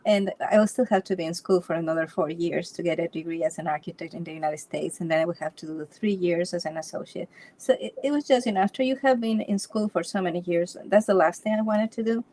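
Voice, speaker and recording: A woman's voice: on the low side, fast talker, dark tone. Woman from Ecuador telling her story. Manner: storytelling with frequent restarts and light laughs. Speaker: Feng Shui consultant, interview guest. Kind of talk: casual interview chat.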